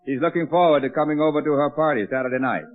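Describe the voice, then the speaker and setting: A man's voice: fairly high pitch, fairly even intonation, racing, narrow, tinny audio, lightly acted. An actor playing a genial, reassuring middle-aged father in an old-time radio sitcom. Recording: thin, band-limited vintage radio recording.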